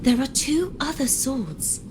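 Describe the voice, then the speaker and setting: A gentle, troubled young maiden from a video game, with a fairly high voice. Her manner is formal and pensive, as if searching for answers. The capture has noticeable noise behind her. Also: expressive, takes it slowly. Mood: troubled realization. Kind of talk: scripted video game voice acting.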